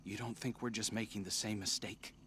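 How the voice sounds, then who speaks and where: A man's voice: on the low side, fairly even intonation, brisk, slight background noise. An actor playing a brooding, guilt-ridden young man in a video game, speaking in terse, troubled phrases. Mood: bitter, remorseful. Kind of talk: dramatic video game voice acting. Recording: game audio under loud music.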